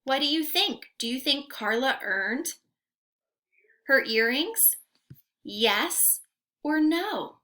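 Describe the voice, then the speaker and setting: A young woman gives an interactive read-aloud lesson for young children. Her voice is high and swings widely in pitch, and she takes her time over each phrase. She speaks in a carefully enunciated, sing-song teacher's manner. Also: lively intonation, slow pace, long pauses, sharp tone. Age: young adult. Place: room at home.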